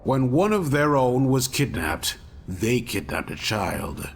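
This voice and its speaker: An actor playing a resolute investigator who gives measured briefings to a detective in a mystery game. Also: male voice, medium pitch, big pitch swings, vocal fry, a little hiss or hum, exaggerated voice. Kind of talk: scripted game cutscene dialogue.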